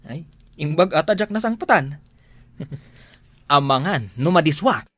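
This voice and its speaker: A woman plays an exclamatory Ilocano villager in a homespun comedy drama. She frets and complains with lively, swooping intonation, recorded close and dry. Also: deep voice, measured pace, no echo, heavily acted. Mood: grumbling.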